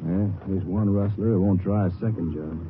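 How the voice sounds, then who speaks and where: An actor playing an observant Texas Ranger's partner in a 1950s radio western, speaking in a casual, clipped, practical way. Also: male voice, deep voice, normal speed, noisy background, close to an everyday voice. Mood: businesslike. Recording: muffled old radio drama recording.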